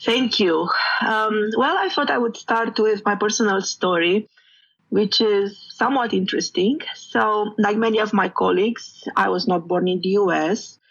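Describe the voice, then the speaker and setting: A woman's voice: medium pitch, normal speed, creaky, husky, muffled recording. A woman in her fifties from Romania, a professor telling her personal story in a thoughtful interview. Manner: thoughtful, storytelling, occasional soft asides. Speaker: professor and guest.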